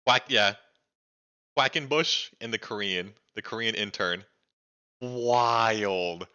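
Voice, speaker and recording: Excitable young man, bright lo-fi stream voice. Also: lowish voice, slow, clean tone. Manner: excitable, swears freely, fills speech with "like". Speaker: streamer reacting to a documentary. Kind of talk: casual live-stream reaction chat.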